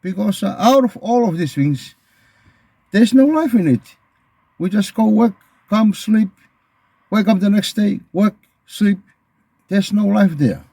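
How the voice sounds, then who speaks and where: A middle-aged man with a Tongan accent speaking in an earnest, halting, reflective unscripted monologue as a video host, often repeating phrases. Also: sits high, expressive, creaky, mellow, breathy edge, dry recording.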